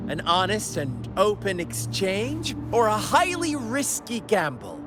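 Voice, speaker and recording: Loud music plays behind an unhinged game villain. He is sly and theatrical, sweeping widely in pitch and taking his time. His delivery is mocking and full of taunts. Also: wildly swooping pitch, slow, exaggerated voice. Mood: sly, taunting. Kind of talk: video game voice acting.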